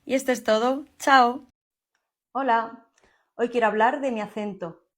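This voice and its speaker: A woman from Seville with an Andalusian accent, softly and warmly walking viewers through her makeup products. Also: fairly high pitch, fairly even intonation, measured pace. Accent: Andalusian Spanish, Seville. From Seville, Spain. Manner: soft, enthusiastic product run-through. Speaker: makeup content creator. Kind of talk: casual makeup tutorial to camera. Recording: music playing behind the voice.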